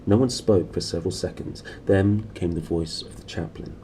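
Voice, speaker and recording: Male reader for a novel, dark soft-edged tone, noisy small-room recording. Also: sits low, normal speed. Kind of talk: reading a novel aloud.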